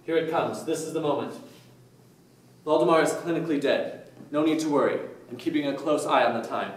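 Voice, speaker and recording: A man's voice: on the high side, fairly quick, lightly acted. A man acting a clinical, self-assured doctor who presents to an audience with formal showmanship in a staged play reading. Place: indoor room, staged reading recorded from afar. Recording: echoey room, distant mic.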